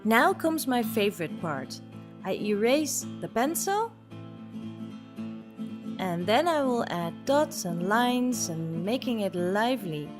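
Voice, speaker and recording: A woman's voice: on the high side, big pitch swings, slow pace. A woman presenting a drawing tutorial as a voice-over, explaining her technique step by step. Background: plucked guitar music.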